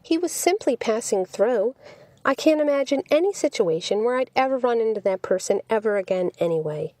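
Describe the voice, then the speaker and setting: A woman voicing a sweet, chatty young woman who is easily exasperated, in an animated audiobook reading. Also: on the high side, a little fast, dry recording, lightly acted. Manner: animated, indignant when challenged.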